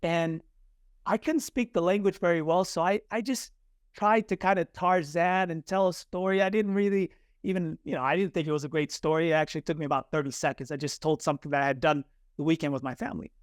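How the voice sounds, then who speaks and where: A man's voice: a little high, fast talker, smooth, no echo, studio quality. A man in his forties, born in Chile with Spanish as his first language, telling a personal story as a podcast guest and acting out the voices he quotes. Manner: animated, acts out quoted voices. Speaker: guest, communication coach.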